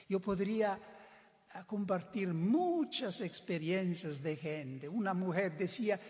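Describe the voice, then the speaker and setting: A man's voice: high-pitched, animated, takes it slowly, a little mellow. An older man who is not a native Spanish speaker, preaching a sermon in an emphatic way, often repeating and restarting words. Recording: reverberant hall.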